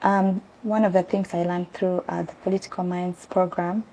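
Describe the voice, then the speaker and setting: A young woman from South Sudan answering interview questions earnestly, pausing often with ums. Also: on the low side, flat delivery, dark tone, slight background noise. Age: young adult. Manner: earnest, hesitant with many ums. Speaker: interview guest. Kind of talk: conversational interview.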